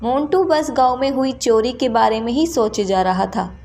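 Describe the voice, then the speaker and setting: A woman's voice: a little high, normal speed, darkish tone, soft background music. A woman reading scripted narration for a children's story as the storyteller.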